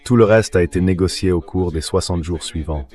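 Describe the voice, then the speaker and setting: A man giving measured, explanatory political commentary as a guest in a dubbed interview. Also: deep voice, warm tone.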